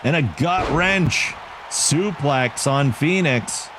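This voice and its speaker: Guy doing excited wrestling play-by-play, kinda high voice, loud game crowd behind him. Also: takes it slowly, noisy background. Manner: excitable, self-joking asides between calls. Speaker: play-by-play commentator for a video game league. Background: video game arena crowd.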